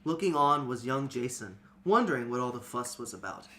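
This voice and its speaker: A man's voice: mid-range voice, animated, a little fast, sharp tone. A man reading a story aloud as host, with dramatic emphasis, swelling and then trailing off. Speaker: host and reader. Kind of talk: expressive read-aloud storytelling.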